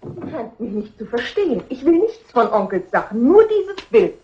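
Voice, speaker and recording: A woman's voice: a little high, sing-song, normal speed, slightly rough, full character voice. A woman playing a self-assured, willful young wife in a German film dub, cool, provocative and imperious. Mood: insistent. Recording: dull, muffled vintage film sound.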